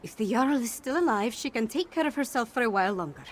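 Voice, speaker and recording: Actress doing young warrior woman from a video game, resolute and earnest, highish voice, bit of background noise. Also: expressive, fast, close to an everyday voice. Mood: grim resolve. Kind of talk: scripted video game dialogue.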